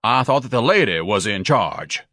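A man's voice: on the low side, sing-song, normal speed, bright, forceful tone, no room sound, clean studio sound, exaggerated voice. A man voicing a huge, pompous, indignant man in an audiobook, with a stentorian, declamatory delivery.

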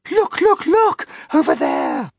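A man voicing an excitable, wondering female ladybird in a storybook, with eager exclamations. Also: very high voice, takes it slowly, gravelly, no echo, clean studio sound, pitched above the performer's own voice, exaggerated voice. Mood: excited.

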